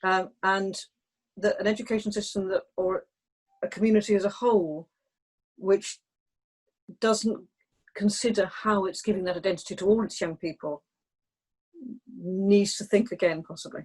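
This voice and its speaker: Thoughtful woman with a measured delivery. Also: medium pitch, fairly even intonation, poor audio. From England. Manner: thoughtful, measured, with frequent restarts. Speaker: guest. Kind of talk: panel discussion.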